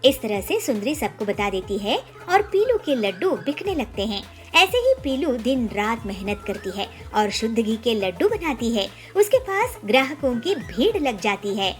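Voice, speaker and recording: A woman's voice: on the high side, very animated, normal speed. A woman narrating a scripted children's story as a storyteller. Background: music.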